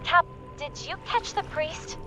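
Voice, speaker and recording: A woman playing a feisty, loyal comrade in a video game, talking in an informal, sassy, expressive way. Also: very high-pitched, a little slow, over music. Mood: teasing, exasperated. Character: feisty, loyal, teasing female comrade of the hero. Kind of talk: casual video game companion dialogue.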